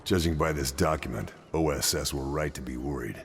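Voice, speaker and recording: An actor playing a confident, gruff wartime spy agent in a video game, with a dry, terse manner. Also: male voice, a bass voice, brisk, slight background noise. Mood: dry, appraising. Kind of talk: video game cutscene acting.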